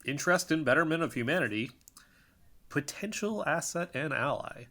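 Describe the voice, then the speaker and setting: Man playing an earnest, sharp-voiced young investigator. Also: on the high side, lively intonation, normal speed, exaggerated voice. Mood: self-satisfied. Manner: intense, self-serious. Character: earnest, suspicious young male amateur investigator named Kyle. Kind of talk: audio drama, dictating covert voice notes.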